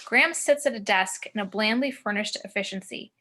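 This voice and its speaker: Bright-voiced woman reading stage directions. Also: medium pitch, a little fast. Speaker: narrator of screenplay action. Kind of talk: scripted narration of scene directions. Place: remote video call.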